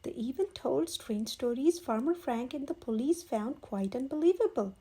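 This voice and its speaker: A woman's voice: sits high, animated, normal speed, warm tone. A woman reading a children's storybook aloud as a scripted narrator.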